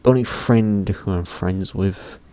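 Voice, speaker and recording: A teenage boy with a very deep voice, rambling casually to camera in a vlog, often stopping himself mid-sentence and swearing offhandedly. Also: a little slow, warm tone. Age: teens. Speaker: YouTuber.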